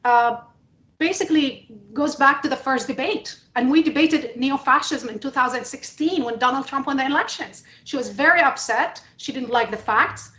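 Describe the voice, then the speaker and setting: A woman from the Middle East speaking emphatically and deliberately as a journalist on a panel about politics. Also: on the high side, sharp tone. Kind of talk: panel testimony, impassioned political talk. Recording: echoey room.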